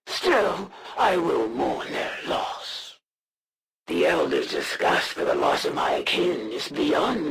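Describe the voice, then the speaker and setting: A haughty, honor-bound alien assassin from a video game. The delivery is formal and menacing, with wildly swooping pitch over a heavy, crackling rasp. Each phrase is drawn out slowly and solemnly. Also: fairly bright tone, exaggerated voice. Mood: cold, measured. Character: proud, honor-bound alien assassin serving dark masters. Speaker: villain voice in a strategy video game. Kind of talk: dramatic scripted game voice acting.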